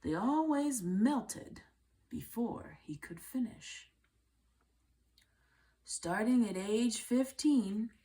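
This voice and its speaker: A woman reading a children's book aloud in a measured way, with deliberate phrasing and pauses. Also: fairly high pitch, mellow. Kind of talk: read-aloud storybook narration.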